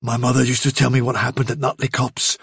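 A man's voice: mid-range voice, level tone, quick pace, vocal fry, a bit bright, slightly rough, no room sound, studio quality, exaggerated voice. A man voicing a worried, respectful male rabbit in a storybook. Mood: anxious. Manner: deferential, worried. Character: anxious, respectful male rabbit urging retreat.

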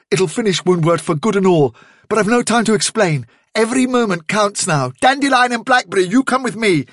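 A man voicing a decisive, commanding rabbit leader giving brisk, urgent orders in a storybook reading. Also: sits high, a bit bright, no echo, studio quality, voice pushed up. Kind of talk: audiobook character acting.

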